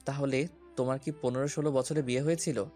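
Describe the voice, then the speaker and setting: A man's voice: mid-range voice, steady tone, fast talker, warm tone, faint music behind, lightly acted. An actor playing a domineering young policeman suitor in a romantic audio story, commanding and taunting. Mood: demanding.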